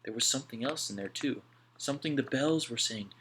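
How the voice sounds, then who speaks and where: A man reading an audiobook aloud in an expressive storytelling style. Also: mid-range voice, brisk, slight background noise, rough recording. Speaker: audiobook reader.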